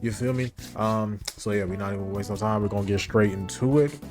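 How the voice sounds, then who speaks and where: A man with an American accent and a fairly low, slightly stuffy voice gives casual livestream commentary. He talks in loose, informal slang. Game music plays faintly behind him. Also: brisk, darkish tone. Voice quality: stuffy nose. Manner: slangy, informal, hyped asides. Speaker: streamer reacting to a game story.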